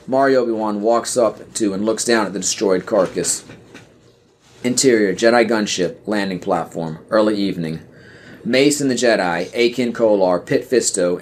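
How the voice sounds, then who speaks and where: Low-voiced man narrating an audio play. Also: slight background noise. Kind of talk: scripted screenplay narration.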